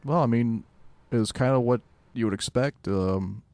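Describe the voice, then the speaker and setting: A man chatting casually as a podcast co-host, with a deadpan, self-deprecating manner. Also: mid-range voice, moderate pace, dry recording. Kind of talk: casual chat.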